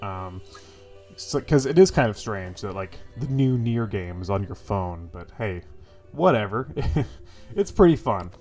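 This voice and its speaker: A man's voice: fairly low pitch, expressive, unhurried, darkish tone, soft background music. A man with a stuffy, congested voice giving casual, rambling impressions of a game as host of his gaming channel, full of fillers and the odd swear. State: congested from a cold.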